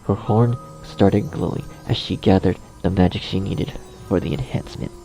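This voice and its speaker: A man's voice: low voice, steady tone, normal speed, gravelly, warm tone, poor audio. A man narrating a scripted horror story dramatically, as its storyteller. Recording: faint music behind the voice.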